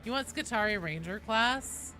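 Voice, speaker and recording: A woman's voice: a little high, lively intonation, moderate pace, pushed and bright, faint music behind. A woman chatting casually and expressively as she hosts a gaming livestream, reading out and answering her viewers. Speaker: live streamer, host.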